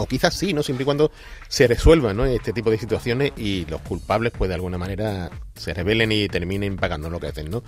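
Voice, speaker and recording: A man co-hosting a gaming news show, chatting casually with hesitations and asides. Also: lowish voice. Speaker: radio co-host.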